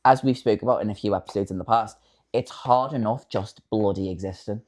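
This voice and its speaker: A man's voice: lowish voice, a little fast, darkish tone. A man in his late twenties co-hosting a podcast, talking in an earnest, opinionated way. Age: late 20s. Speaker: podcast co-host. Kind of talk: casual chat.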